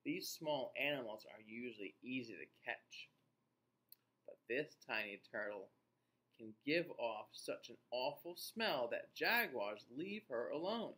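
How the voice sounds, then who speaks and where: A man in his forties reading a children's book aloud and speaking deliberately to young viewers. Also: mid-range voice, lively intonation, bright, forceful tone. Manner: slow, deliberate, child-directed delivery. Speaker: children's read-aloud host. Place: small indoor room.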